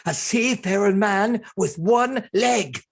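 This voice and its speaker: A man playing a gruff, wary, hard-drinking old sea captain in a radio play. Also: sits high, takes it slowly, a little hiss or hum, heavily acted. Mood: furtive, coaxing.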